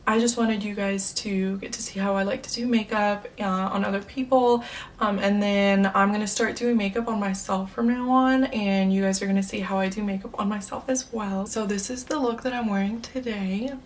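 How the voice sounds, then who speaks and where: A woman's voice: medium pitch, fairly even intonation, moderate pace. Young American woman, early twenties, chatty beauty vlogger with an upbeat manner; mic set a little back, faint hiss. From United States. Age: 20s. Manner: chatty, upbeat, many fillers. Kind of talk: casual chat to camera. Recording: slightly distant mic, faint room noise.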